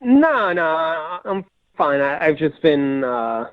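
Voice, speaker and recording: Male radio phone-in caller, slightly high pitch, muffled line, hesitant casual delivery. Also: big pitch swings, slow pace, clear, resonant tone, no echo.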